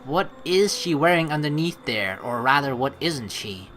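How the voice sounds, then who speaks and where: A woman's voice: sits low, lively intonation, normal speed, fairly bright tone, slight background noise. A woman hosting a let's play, switching between reading game narration aloud and playful, teasing commentary.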